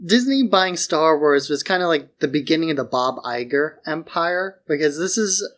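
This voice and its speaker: A male podcast co-host with a fairly high-pitched voice. He chats informally and offers his own commentary in a loose, casual style. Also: lively intonation, moderate pace, fairly bright tone. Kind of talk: casual chat, opinionated commentary.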